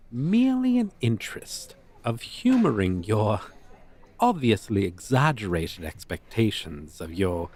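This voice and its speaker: A man's voice: lowish voice, darkish tone. A man playing a haughty, formal dragon teacher in an audio roleplay, with pompous, wordy, old-fashioned phrasing. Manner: pompous, verbose, archaic formal diction. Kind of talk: ASMR roleplay, character acting.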